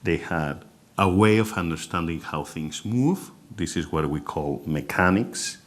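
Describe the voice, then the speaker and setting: Deep voiced guy w/ foreign accent doing an intro physics lecture for regular people. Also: expressive, measured pace. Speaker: university physics lecturer on a panel. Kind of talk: introductory public science lecture.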